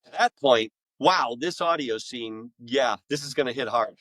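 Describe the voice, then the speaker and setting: A man's voice: mid-range voice, moderate pace, a bit bright, no room sound. A middle-aged man speaking frankly and self-deprecatingly in a conversational podcast interview as a guest author.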